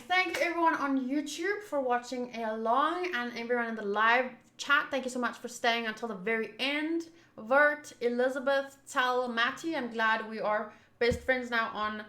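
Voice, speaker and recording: A young woman talks casually to her livestream audience as she hosts a game playthrough. Her voice is bright and a little high. The sound is clearly roomy, like a microphone in an echoing room at home. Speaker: streamer, host of a game playthrough. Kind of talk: casual livestream chat and commentary.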